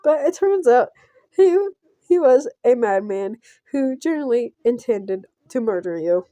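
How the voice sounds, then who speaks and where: A woman's voice: fairly high pitch, expressive, unhurried, mellow, no room sound. A woman reading a visual novel aloud as a casual playthrough, stumbling over and repeating words as she goes. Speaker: game player narrator.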